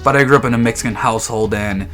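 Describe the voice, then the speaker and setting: A man's voice: medium pitch, rapid-fire, music in the background. Slangy young man joking to camera. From Texas, United States. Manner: slangy, joking, loose storytelling. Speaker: vlogger. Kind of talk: casual comedic chat.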